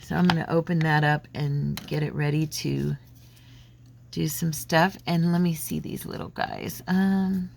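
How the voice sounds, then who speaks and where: Woman, lower voice, froggy from a cold, rambling and friendly, faint room noise behind her. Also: steady tone, unhurried, gravelly, darkish tone. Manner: rambling, friendly, many fillers and restarts. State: slight head cold, a bit froggy. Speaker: crafting channel host. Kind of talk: casual chatty craft vlog. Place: home craft desk.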